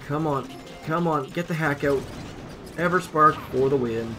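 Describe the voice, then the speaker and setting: A man's voice: fairly high pitch, a little slow, music in the background. A man, likely in his thirties, casually commenting on his own mobile gameplay at home, thinking aloud and poking fun at himself. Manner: chatty, self-deprecating, thinks aloud. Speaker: gaming channel host. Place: home room.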